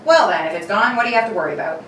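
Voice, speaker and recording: A woman's voice: medium pitch, lively intonation, rapid-fire, a bit bright, lightly acted. A woman playing a brusque, sceptical policeman with a blunt, colloquial manner in an old-time radio suspense play. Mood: dismissive advice. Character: brusque, sceptical streetwise policeman, humouring a nuisance. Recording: echoey room from far off, faint noise.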